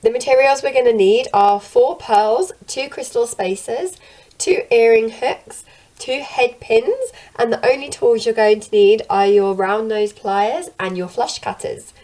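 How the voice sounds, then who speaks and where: Young female craft host, a bit croaky, calm how-to delivery from home. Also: a little high, a little slow, low-quality recording. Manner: gentle, steady instructional delivery. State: slightly croaky from illness. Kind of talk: step-by-step tutorial narration. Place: home room, indoors.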